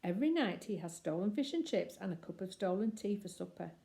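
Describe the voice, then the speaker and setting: A woman reading a children's story aloud expressively, with deliberate phrasing and emphatic pauses. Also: medium pitch, expressive, creaky, mellow.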